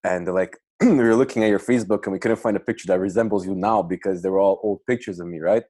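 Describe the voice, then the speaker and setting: A man's voice: low-pitched, fast talker, dark tone, no echo. A man hosting a podcast in casual chat, rambling with lots of fillers and restarts. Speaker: podcast host.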